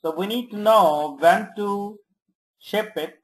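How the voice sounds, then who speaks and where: A software trainer giving step-by-step instructions in short commands, checking in often during a screen tutorial. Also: medium pitch, slow pace, slight background noise, rough recording. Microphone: screen-recording voice-over.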